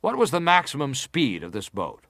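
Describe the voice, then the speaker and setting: A formal, pressing senator examines a witness in a historical drama. His mid-pitched male voice is deliberate and insistent, recorded dry with no room around it. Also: wildly swooping pitch, fairly bright tone, dry recording. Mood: probing, formal. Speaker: actor reading an examining senator. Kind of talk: dramatised reading of hearing testimony.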